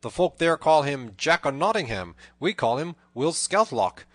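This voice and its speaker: A man's voice: mid-range voice, animated, normal speed, a bit bright, no room sound, close to an everyday voice. A man voicing a bold, merry, teasing outlaw leader with exuberant, old-fashioned storybook speech. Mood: hearty, curious. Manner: exuberant, mirthful archaic speech.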